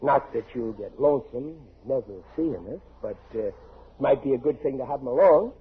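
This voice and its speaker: A man's voice: mid-range voice, lively intonation, normal speed, husky. An actor playing a blundering, cocksure working-class father in 1940s radio comedy, stammering and overconfident. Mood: smug. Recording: muffled, hissy 1940s radio recording.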